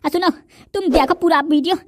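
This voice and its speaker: A woman voicing a brash, quick-tempered village character in a comedy cartoon, with a Bundeli accent. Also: very high voice.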